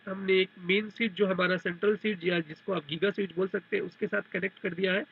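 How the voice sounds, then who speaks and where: A man's voice: sits high, gravelly, slightly rough, phone-quality sound. A man giving a technical tutorial, explaining step by step in a repetitive way and mixing in English technical terms. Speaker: technical trainer. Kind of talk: explanatory tutorial over a diagram.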